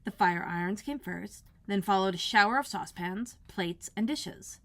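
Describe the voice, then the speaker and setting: A woman narrates a children's storybook. Her pitch sits in the middle for a female voice, and she reads expressively, as a school librarian does for young listeners. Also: animated, moderate pace, a bit bright. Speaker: elementary school librarian reading aloud.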